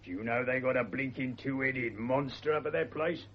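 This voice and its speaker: A man acting a gruff working-class Cockney servant from a radio play. His voice is husky and middling in pitch, with blunt, slangy, grumbling delivery. The recording is dull and lo-fi. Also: fairly quick, close to an everyday voice. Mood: wary, grumbling. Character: gruff, superstitious working-class manservant, wary and cheeky. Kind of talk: British radio drama acting.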